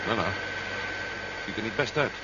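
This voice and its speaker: A man playing a bold adventurer with animated intonation and a decisive, wry manner. The vintage radio play sound is muffled, with loud vehicle noise behind him. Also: lowish voice, normal speed, close to an everyday voice. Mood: grim, decided. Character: bold, wry man of action on a risky mission. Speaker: actor. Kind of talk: 1970s science fiction radio play acting.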